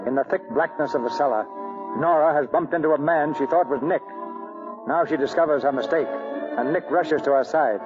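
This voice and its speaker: A man narrates a 1940s radio mystery with dramatic, scripted delivery. His voice is slightly high, and loud brass music plays behind him on a muffled old recording. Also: a little fast. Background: loud orchestral brass music.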